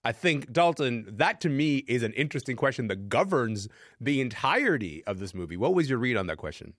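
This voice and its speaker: Animated male talk show host, very dry sound. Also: mid-range voice, fairly quick, no echo. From Sudanese descent. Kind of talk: panel discussion hosting, conversational.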